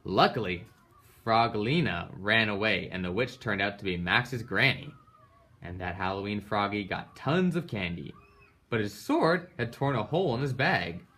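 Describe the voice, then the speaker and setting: A man reads a storybook aloud for children. His voice is fairly low and bright, and it swings widely in pitch. He is dramatic and leans hard on sound-effect words. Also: animated, moderate pace. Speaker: storybook reader. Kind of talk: animated children's read-aloud.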